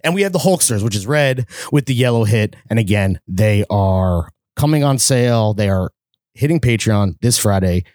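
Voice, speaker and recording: A man's voice: fairly low pitch, animated, normal speed, darkish tone, dry recording, clean studio sound. A man co-hosting a podcast, laid-back and slangy, laughing often. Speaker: podcast co-host. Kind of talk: casual podcast banter.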